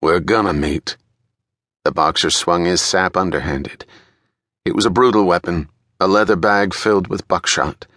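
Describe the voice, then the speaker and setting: A man's voice: deep voice, moderate pace, fairly bright tone, no echo, studio quality. A man reading a thriller audiobook as narrator in a dramatic, scripted storytelling style.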